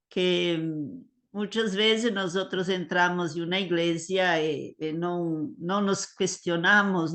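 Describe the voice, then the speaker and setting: An older woman giving an online liturgy lecture over a video call, hesitant, with many fillers and words. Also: fairly low pitch. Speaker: liturgy teacher.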